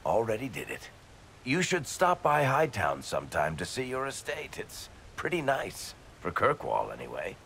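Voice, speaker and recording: An actor playing a teasing, offhand dwarf storyteller in a video game. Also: male voice, on the low side, huge pitch swings, normal speed, gravelly, a bit bright, slight background noise, exaggerated voice. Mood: wry. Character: wry, easygoing male dwarf storyteller.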